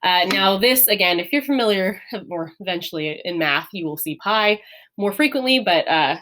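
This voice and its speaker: Young woman teacher, patient step-by-step explainer, medium pitch, light laughs between points. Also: animated, moderate pace, sharp tone. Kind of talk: classroom lesson explaining letters.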